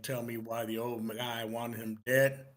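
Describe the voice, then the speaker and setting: A man's voice: medium pitch, level tone, measured pace, lightly acted. A man playing a terse, sullen middle-aged firearms officer in a screenplay table read. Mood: annoyed. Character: intelligent 47-year-old firearms officer, sullen, terse, second fiddle.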